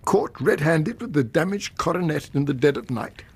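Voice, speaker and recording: A man's voice: on the high side, animated, moderate pace, darkish tone, husky. A man playing a stubborn, agitated older Victorian uncle in a radio drama, formal and emphatic, with an English accent. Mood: stern, agitated.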